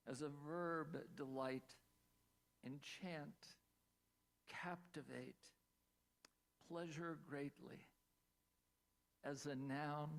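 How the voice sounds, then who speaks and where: A man's voice: medium pitch, gravelly, low-quality recording. A man from Pennsylvania giving a talk over a video call as a storyteller, lingering on single words, with playful asides. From Pennsylvania, USA.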